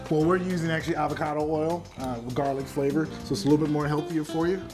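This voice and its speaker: A man's voice: fairly high pitch, steady tone, quick pace. A man hosting a cooking show as the chef, chatty and joking with his guest while he explains each step. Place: home kitchen. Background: background music and sizzling pan.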